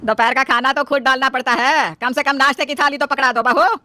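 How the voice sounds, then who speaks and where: A woman plays a bossy, stingy mother-in-law from an Indian family story. The voice is high and sharp, always demanding and finding fault. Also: a little fast, no echo. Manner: scolding, demanding.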